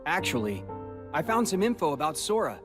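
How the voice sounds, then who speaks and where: An actor playing an earnest, reserved young male hero in a video game, speaking in a measured way. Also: fairly high pitch, big pitch swings, over music.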